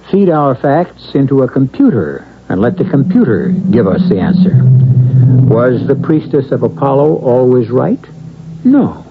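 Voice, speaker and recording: An American man hosting a radio drama with measured, theatrical, formal scripted narration. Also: mid-range voice. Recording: muffled, phone-like old radio broadcast. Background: music.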